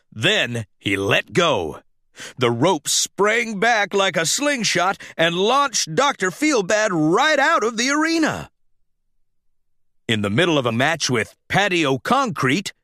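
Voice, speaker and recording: Male storybook narrator for children, higher-pitched, very expressive, unhurried, close dry sound. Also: no echo. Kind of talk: animated scripted read-aloud narration for children.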